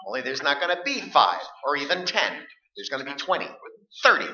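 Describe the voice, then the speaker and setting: A dull, echoey stage recording of a radio play. An actor plays a combative middle-aged man with a very sharp, high voice. He is stubborn and scared, and he stammers as he argues. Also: very animated, fairly quick. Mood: combative, agitated. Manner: argumentative, agitated, stammering. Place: live stage performance.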